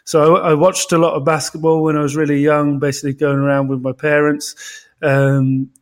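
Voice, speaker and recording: Measured man with a fairly high voice. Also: little pitch movement. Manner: measured, analytical, frequent ums and restarts. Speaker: podcast co-host. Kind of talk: casual podcast discussion.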